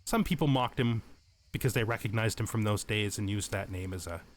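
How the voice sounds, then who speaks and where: A man's voice: lowish voice, a little fast. Man narrating a game tutorial, noisy background. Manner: explanatory, thinking aloud and correcting himself. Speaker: let's play host. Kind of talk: casual game tutorial commentary.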